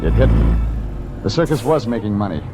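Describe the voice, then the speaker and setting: An actor playing a tough, sardonic private detective in 1940s radio style, with a clipped, deadpan, wisecracking delivery. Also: male voice, lowish voice, fairly quick, loud music behind the voice. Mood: grim, deadpan. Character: tough, sardonic male private detective, hard-boiled and impatient. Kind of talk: 1940s hard-boiled radio drama acting, first-person narration. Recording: old radio show under loud truck-cab noise. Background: loud vehicle road and engine noise.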